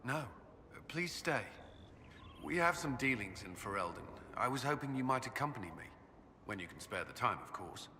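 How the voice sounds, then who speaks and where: A man playing an earnest former Templar commander haunted by guilt, speaking haltingly and formally in a dramatic video game. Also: mid-range voice, expressive, background noise, close to an everyday voice. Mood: nervous, awkward.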